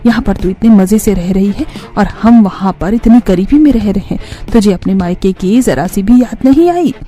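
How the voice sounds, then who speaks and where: A woman's voice: mid-range voice, lively intonation, normal speed, dark tone, close to an everyday voice. A woman playing a greedy, scolding old grandmother in a cartoon family story. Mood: angry, demanding. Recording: music bed under the voice.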